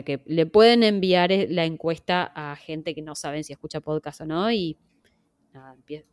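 Argentine woman talking podcasts in an interview, smooth lowish voice, dry sound, lots of "eh" and "¿no?". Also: dry recording. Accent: Argentine Spanish. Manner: measured, many fillers and "¿no?" tags. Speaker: podcast survey organizer. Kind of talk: interview guest explaining.

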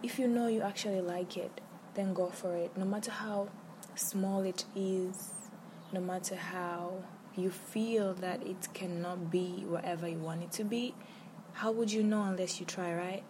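Slow, earnest young woman podcaster. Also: lowish voice, fairly even intonation, noisy background. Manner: earnest, encouraging, repeats key phrases. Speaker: podcast host. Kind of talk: solo motivational podcast talk.

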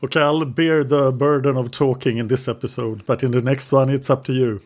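A man's voice: mid-range voice, steady tone, a little fast, a little mellow. A man hosting a history podcast, leading the talk with long explanations and light teasing. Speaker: podcast host. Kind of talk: explaining history in casual chat.

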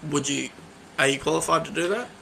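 A man's voice: fairly high pitch, fast, gravelly, sharp tone, slight background noise, rough recording. A man, co-hosting a podcast in cheeky, joking, casual banter. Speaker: podcast co-host.

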